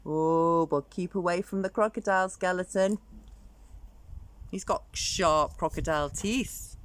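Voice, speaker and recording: A woman's voice: lowish voice, a little slow. A woman storyteller reading a children's picture book aloud expressively, drawing out words for dramatic emphasis.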